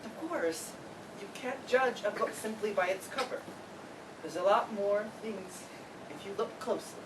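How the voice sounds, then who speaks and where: A woman's voice: medium pitch, a little slow, close to an everyday voice. A woman playing an awkward, eager, earnest classmate in an amateur stage play reading, theatrical in places. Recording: distant mic, clearly noisy live room.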